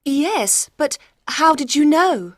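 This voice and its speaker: A woman playing a troubled gentlewoman of about thirty in a dramatised audiobook, speaking earnestly and clearly. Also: high-pitched, lively intonation, slow pace, no echo, clean studio sound. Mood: surprised. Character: frightened, desperate young gentlewoman of about thirty.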